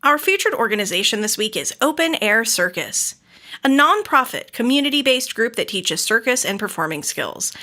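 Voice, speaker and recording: An American woman with a bright, fairly high voice hosts a podcast with real enthusiasm. Her pitch moves around in a lively way as she shifts from prepared introductions to effusive, casual chat. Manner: enthusiastic, effusive, quick to laugh. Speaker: podcast host.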